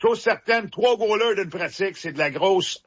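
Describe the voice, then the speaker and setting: A man's voice: sits high, normal speed, breathy edge. A man with a Quebec French accent, a former hockey player chatting casually as a sports commentator, rambling with many restarts and fillers. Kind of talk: casual radio sports chat. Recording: muffled radio broadcast.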